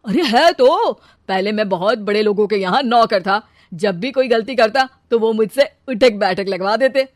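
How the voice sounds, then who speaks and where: A woman's voice: mid-range voice, lively intonation, normal speed, no room sound, clean studio sound, close to an everyday voice. A woman voicing a boastful, fibbing young man in a comic story, making glib, stammering excuses without shame. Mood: glib, self-justifying. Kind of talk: comic Hindi audio story dialogue.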